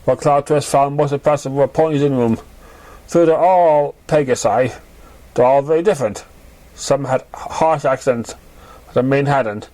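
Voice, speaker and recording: A man's voice: mid-range voice, moderate pace, dark tone, poor audio. A man reading a story aloud as an amateur narrator.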